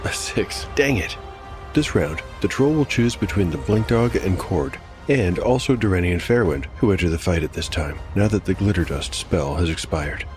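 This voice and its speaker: Man, very low pitch, tabletop game narrator, dramatic storytelling, wry dice commentary, clear music bed behind. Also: expressive, normal speed, a little mellow. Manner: wry asides and mild exclamations between narration. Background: background music.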